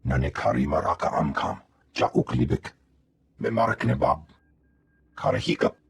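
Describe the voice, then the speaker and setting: A guttural alien creature from a video game. The voice is low and extremely husky, with heavy gravel and a swooping delivery. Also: male voice, animated, moderate pace, no echo, exaggerated voice. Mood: gruff.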